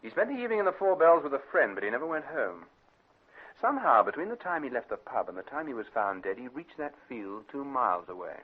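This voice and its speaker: An authoritative English detective with a lively, varied delivery. He speaks in clipped, decisive phrases, quickly and matter-of-factly. The sound is a dull vintage radio drama recording. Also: medium pitch, husky. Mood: businesslike. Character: brisk, authoritative British male private detective. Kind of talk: classic British radio drama acting.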